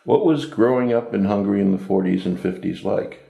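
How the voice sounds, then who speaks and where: Male oral history interviewer, low mellow gravelly voice, short prompts, roomy sound. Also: brisk. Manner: brief prompts and steady acknowledgments. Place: interviewee's home.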